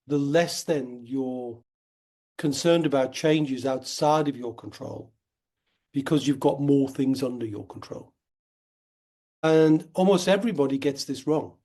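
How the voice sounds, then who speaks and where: A man talks through his ideas in an earnest, steady interview manner. His voice is dark and mellow, with a slight croak left over from a cold. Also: medium pitch. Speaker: guest, wealth company founder. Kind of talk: conversational interview.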